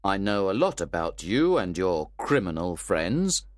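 A man doing Mister Brownlow, a stern, firm gentleman. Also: sits low, big pitch swings, no echo, studio quality. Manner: firm, measured and accusing. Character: stern, composed older gentleman confronting a villain.

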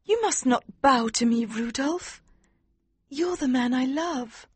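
A man voicing a gentle, dutiful young princess in a storybook reading, speaking softly and earnestly. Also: very high voice, slow, slightly rough, no room sound, voice pushed up, full character voice. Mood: tender. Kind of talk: audiobook character voice.

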